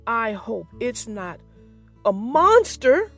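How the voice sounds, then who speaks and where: A woman voicing a small, timid young male engine in a storybook, with a breathy, wheezy voice. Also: a little high, big pitch swings, slow, a bit bright, faint music behind, full character voice. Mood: scared.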